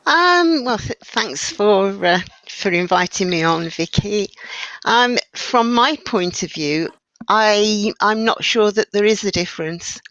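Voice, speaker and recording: A woman's voice: mid-range voice, animated, takes it slowly, a bit bright, no room sound, dull audio. A woman guest on a panel, speaking hesitantly and reflectively about coping. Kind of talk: panel discussion.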